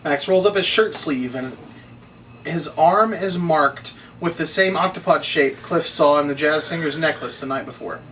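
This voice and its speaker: Higher-pitched male narrator, distant mic. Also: moderate pace, a bit bright. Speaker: narrator reading stage directions. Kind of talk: scripted narration at a table read. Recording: distant mic, noisy room ambience.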